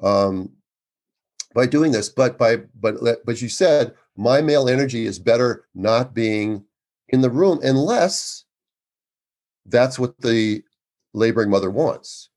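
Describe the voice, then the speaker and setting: A man in his sixties, an obstetrician speaking as a guest in an interview, with a loud, emphatic and earnest way of talking. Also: mid-range voice, lively intonation, takes it slowly. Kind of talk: conversational interview.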